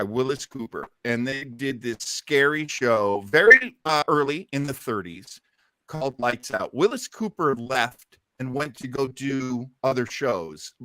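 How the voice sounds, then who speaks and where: A middle-aged man hosts a casual radio listening show. His voice is bright and swings widely in pitch, with a theatrical, teasing delivery. The sound is close and dry. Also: no room sound. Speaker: host of an old-time radio listening show. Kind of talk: casual panel chat and show introduction.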